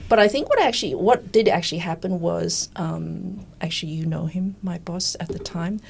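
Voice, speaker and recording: A woman's voice: on the low side, normal speed, vocal fry, slightly rough. A woman in her fifties, a recruitment coach chatting candidly as a podcast guest and acting out the remarks she quotes. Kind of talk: conversational storytelling.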